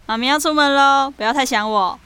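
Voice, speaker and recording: Woman acting a high-voiced, affectionate young office woman. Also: a little fast, bright, forceful tone. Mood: doting, teasing. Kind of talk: audio drama acting. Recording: music under the voice.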